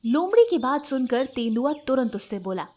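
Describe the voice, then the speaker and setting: A woman storyteller with a fairly high voice. She reads a scripted children's fable as narration for an animated tale. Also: moderate pace.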